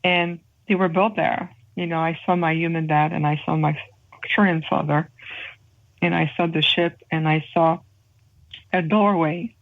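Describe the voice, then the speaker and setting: A woman's voice: deep voice, steady tone. French Canadian woman on a tinny phone line. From Canada (Montreal). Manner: earnest, measured, with frequent pauses and fillers. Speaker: guest. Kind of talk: interview guest describing spiritual experiences. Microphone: phone call.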